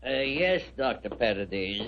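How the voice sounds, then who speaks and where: An actor playing a polite, hesitant, deferential laboratory assistant in 1940s radio drama style. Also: male voice, mid-range voice, lively intonation, unhurried, a little hiss or hum, lightly acted. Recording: dull lo-fi 1940s radio recording.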